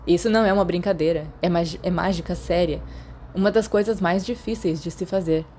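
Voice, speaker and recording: A woman's voice: on the low side, fairly quick, mellow, slight background noise, close to an everyday voice. A woman voicing a guarded, anxious teenage schoolboy in an audiobook, blunt and sceptical. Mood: worried, pleading. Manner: blunt, wary. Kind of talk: audiobook character dialogue.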